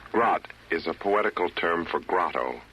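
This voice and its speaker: Very deep-voiced fussy pedant. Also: male voice, expressive, normal speed, pushed and bright. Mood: pedantic. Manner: precise, pedantic, confiding. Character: pedantic, fussy explainer interrupting a radio tale. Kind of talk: 1940s radio verse comedy acting. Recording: muffled, noisy 1940s radio broadcast.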